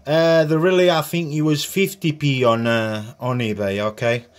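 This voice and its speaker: A man giving an informal hands-on demonstration as a tutorial host, speaking in a halting, hesitant way. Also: a little high, steady tone. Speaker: host of a hobby radio tutorial. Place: small indoor workbench area.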